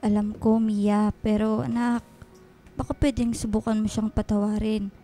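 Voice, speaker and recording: An actress playing a gentle, coaxing elderly housekeeper with a Filipino accent in a radio drama. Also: female voice, mid-range voice, flat delivery, moderate pace, soft background music, natural voice. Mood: gentle pleading. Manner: coaxing, motherly, hesitant. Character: gentle elderly housekeeper, motherly, pleading, flustered peacemaker.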